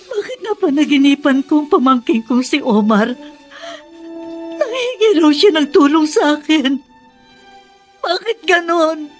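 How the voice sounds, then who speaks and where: Casting: worried caring aunt in radio melodrama, high-pitched, slow, musing aloud, faint music behind. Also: female voice, animated. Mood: worried, wondering. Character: anxious, caring aunt fretting over her nephew. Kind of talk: melodramatic radio drama acting.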